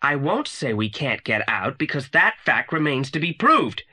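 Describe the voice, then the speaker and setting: A man's voice: mid-range voice, very animated, normal speed, pushed and bright, husky, full character voice. An actor playing a pompous, unflappable teenage boy detective in an audio drama, speaking in precise, formal, long-winded sentences. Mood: composed, determined.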